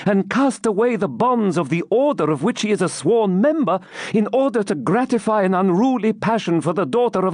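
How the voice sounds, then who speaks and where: A man's voice: high voice, a little mellow, dry recording, clean studio sound. A man voicing a brave, devout young woman captive who speaks with earnest, solemn defiance in a storybook reading. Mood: defiant.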